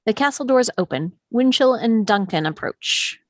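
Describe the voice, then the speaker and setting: A woman's voice: fairly high pitch, animated, normal speed, no echo, studio quality. A woman reading stage directions as the narrator of a live script table read.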